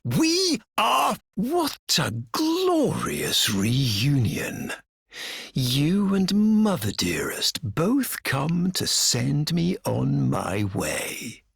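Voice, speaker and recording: An actor playing a cold, obsessive, grandiose male villain in a video game, with theatrical, menacing phrasing and a breathy, gravelly voice. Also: medium pitch, a bit bright, dry recording, studio quality, exaggerated voice. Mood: mocking, commanding. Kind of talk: dramatic video game voice acting.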